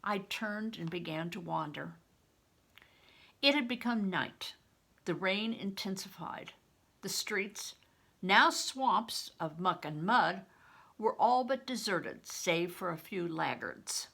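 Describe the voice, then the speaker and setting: An older woman reads a storybook aloud at an unhurried pace. Her voice is bright and gravelly, and she leans into the drama with emphatic delivery. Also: medium pitch, lively intonation. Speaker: retired reading teacher reading aloud. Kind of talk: expressive storybook read-aloud.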